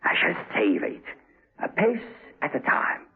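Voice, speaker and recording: Smug husky radio villain. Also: male voice, medium pitch, huge pitch swings, full character voice. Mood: smug, savoring. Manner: mannered, measured, taunting politeness. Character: smug, cultured imprisoned male villain savoring threats. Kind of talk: 1970s radio drama acting. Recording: muffled husky 1970s radio recording.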